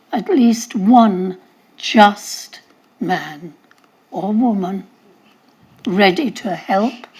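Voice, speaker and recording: Woman reading out a speech, super slow, husky. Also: mid-range voice, a little mellow, rough recording. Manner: slow, deliberate delivery. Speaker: speaker. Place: event hall.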